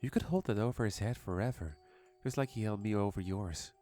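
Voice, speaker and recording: A man's voice: fairly low pitch, a little fast, warm tone, soft background music, voice pushed up, lightly acted. A man voicing a sinister female demon hag who coaxes a child with sweet menace in a horror story. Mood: sinister coaxing.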